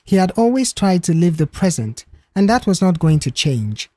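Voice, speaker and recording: A storyteller narrating a scripted audio mystery story, expressively, with a husky and mellow voice. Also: mid-range voice, very animated, normal speed, no echo, studio quality.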